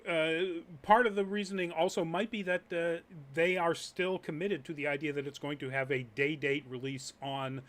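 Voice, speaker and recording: Man, show host, upbeat, laughs easily, slightly high voice. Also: flat delivery, moderate pace, few pauses, fairly bright tone. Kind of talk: live show chat.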